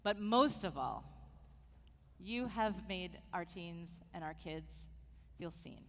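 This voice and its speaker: A woman's voice: on the low side, expressive, takes it slowly. A woman rabbi giving a heartfelt sermon tribute to a departing staff member in a synagogue. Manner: warm, heartfelt address to an honoree. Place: synagogue sanctuary. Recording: long hall reverb, faint noise.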